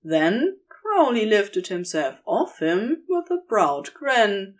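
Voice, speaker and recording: A woman narrating scripted romantic fan fiction in an intimate storytelling style. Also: mid-range voice.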